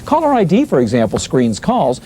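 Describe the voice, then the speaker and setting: An American man delivers a scripted consumer news report in a high voice that swings widely in pitch. There is faint noise behind him. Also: wildly swooping pitch, brisk, mellow.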